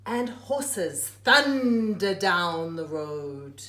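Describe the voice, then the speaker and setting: Slow, expressive woman reading aloud, echoey room. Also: lowish voice. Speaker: teacher reading a picture book aloud. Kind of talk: read-aloud of a rhyming children's book.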